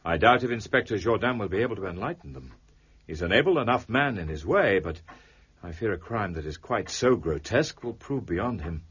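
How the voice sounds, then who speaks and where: Haughty, languid gentleman detective, swooping intonation. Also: on the low side, expressive, a little fast, husky, exaggerated voice. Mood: wry, languid. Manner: dry, pompous, theatrically weary. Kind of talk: period audio drama acting. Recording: dull audio with music behind.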